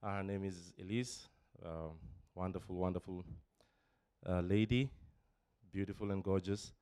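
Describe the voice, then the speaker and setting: A man in his forties from Kenya giving a personal testimony in church, halting with many fillers and restarts, emotional at times. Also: sits low, fairly even intonation, a little mellow, clean tone, dry recording, clean studio sound. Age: 40s. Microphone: handheld microphone.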